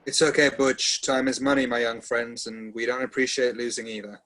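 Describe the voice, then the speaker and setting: A man's voice: mid-range voice, level tone, fairly quick, a bit bright, natural voice. A man voicing an ancient, eccentric mob boss, commanding and threatening, in an amateur table read. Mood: controlled menace. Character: ancient, idiosyncratic, menacing mafia boss.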